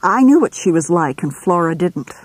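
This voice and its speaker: A woman's voice: on the low side, expressive, normal speed, husky, no echo, rough recording. Woman voicing a blunt, indignant young wife. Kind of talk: audiobook reading.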